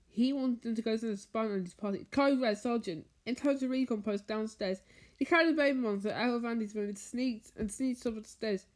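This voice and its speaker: A woman reading a children's bedtime story aloud, haltingly, stumbling over and repeating words as she goes. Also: fairly high pitch, darkish tone, low-quality recording. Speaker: storybook reader.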